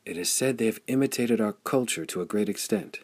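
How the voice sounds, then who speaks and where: A man's voice: fairly low pitch, brisk, darkish tone, dry recording, voice pushed up, lightly acted. A man voicing a grave, bitter commander in an audiobook, speaking in formal, emphatic declamation. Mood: grim, weary. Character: grave, bitter male commander warning of raiders.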